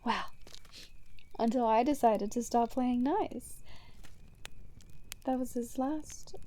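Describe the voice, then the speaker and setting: A woman playing a gleeful, chaos-loving female supervillain in an ASMR roleplay, teasing and breathy, often near a whisper. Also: a little high, slow, warm tone. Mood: smug, menacing. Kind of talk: ASMR roleplay monologue to the listener.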